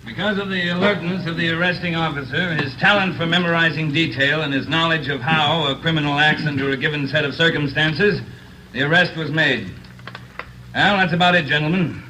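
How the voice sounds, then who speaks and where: A man's voice: a little high, a little fast, pushed and bright, poor audio. An American man playing a middle-aged veteran police officer, giving a blunt, emphatic speech in a 1940s radio drama. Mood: formal. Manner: plain-spoken, emphatic, hard-bitten. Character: blunt, earnest veteran male police officer lecturing cadets. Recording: hissy old 1940s radio recording.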